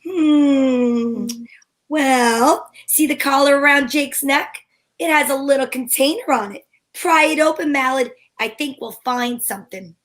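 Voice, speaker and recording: Woman playing a high-voiced, wisecracking lady private eye. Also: unhurried, fairly bright tone. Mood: teasing. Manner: wry, slangy hardboiled delivery. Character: wisecracking female private eye, cheeky and flirtatious, narrating her case. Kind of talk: old-time detective radio drama.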